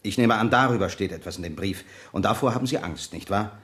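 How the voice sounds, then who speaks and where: An actor playing a probing, insistent police sergeant in a 1970s German radio crime drama, pressing with questions. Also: male voice, on the low side, quick pace, a bit bright, lightly acted. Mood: pressing, suspicious.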